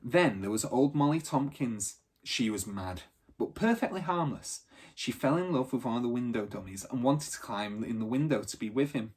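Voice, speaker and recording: A man narrating a children's storybook aloud in an expressive, teacherly reading style. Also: medium pitch, normal speed, breathy edge.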